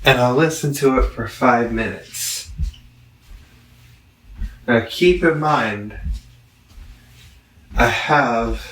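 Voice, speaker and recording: Slow-talking man in an echoey room. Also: medium pitch, a bit bright. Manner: halting, self-correcting, thinking aloud. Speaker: amateur product reviewer. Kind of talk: casual rambling product review vlog. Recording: roomy, echoing, distant from the mic.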